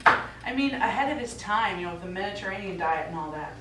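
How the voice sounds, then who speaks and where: A woman's voice: medium pitch, expressive, a little fast, close to an everyday voice. A middle-aged woman playing a practical, concerned daughter in a live stage play, coaxing with mild exasperation. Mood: patient, exasperated. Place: small indoor theatre stage, recorded from afar. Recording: echoey theatre, distant mic, faint noise.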